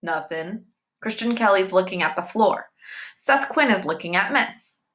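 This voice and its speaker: Female story reader, mid-pitched and bright, delivering novel narration in short, measured sentences. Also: expressive. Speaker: reader, teacher. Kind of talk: read-aloud novel narration.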